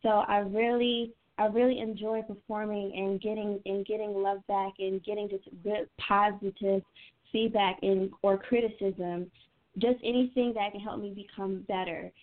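A woman's voice: medium pitch, flat delivery, moderate pace. A woman of twenty-one answering interview questions casually, thinking aloud in a youthful, informal way. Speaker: singer being interviewed as guest. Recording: tinny narrow phone-line sound.

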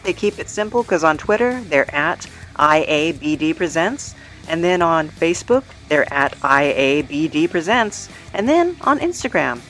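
A woman podcast host runs through casual outro plugs with a chatty, self-deprecating air. Her voice is on the low side with sing-song emphasis, over faint music. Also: a bit bright.

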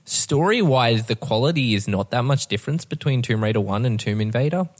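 A man's voice: mid-range voice, lively intonation, brisk. A man co-hosting a podcast, giving casual, opinionated takes on films and shows. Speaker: podcast co-host. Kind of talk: casual opinionated review chat.

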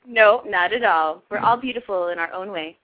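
A woman's voice: medium pitch, moderate pace. A woman from Hawaii chatting effusively as an interview guest, giggly and casual, over a phone call. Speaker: interview guest, model and entertainer. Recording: dull phone call audio.

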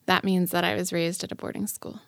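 A woman's voice: lowish voice, flat delivery, fairly quick, gravelly, fairly bright tone, dry recording, studio quality. An American woman hosting a casual chat in a playful, frank way. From United States. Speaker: host, sexuality educator.

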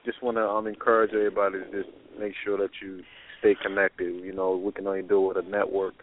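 A man with a fairly low voice speaks casually as a talk show guest. His intonation is very flat, and the sound is narrow like a phone call. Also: quick pace, slight background noise.

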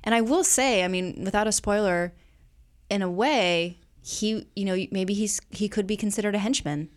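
A woman's voice: medium pitch, normal speed. A woman as a podcast guest, chatting casually and agreeably as she gives her opinions on films. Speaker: guest co-host. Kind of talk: casual film review chat.

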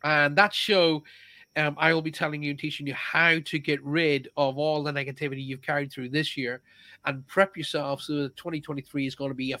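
Middle-aged male host, fairly high voice, chatty and upbeat, dry studio mic. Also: no echo. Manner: chatty, laughs often, warm enthusiasm. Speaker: show host. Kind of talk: casual live show chat.

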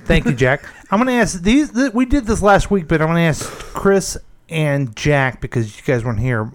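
A man's voice: on the high side, lively intonation, moderate pace. A man hosting a radio talk show, teasing his co-hosts with would-you-rather questions in playful studio banter. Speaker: radio host.

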